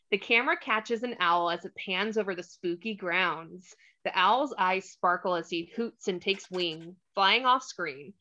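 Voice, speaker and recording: A woman's voice: mid-range voice, bright, forceful tone, lo-fi sound. A woman narrating the stage directions of a screenplay in a scripted read. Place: remote online table read.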